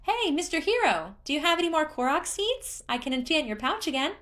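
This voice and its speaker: A woman voicing a childlike, excitable and bashful male forest spirit, given to exclamations, in a storybook reading. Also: high-pitched, fairly quick, pitched above the performer's own voice. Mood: chatty, hopeful. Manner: exclaiming, hesitant when flustered, singsong catchphrases.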